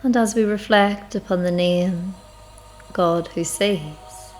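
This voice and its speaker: Slow, low-voiced woman leading meditation. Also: fairly even intonation. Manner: unhurried, reflective, measured with long pauses. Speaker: guided meditation leader. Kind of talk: slow spiritual guided meditation narration. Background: music.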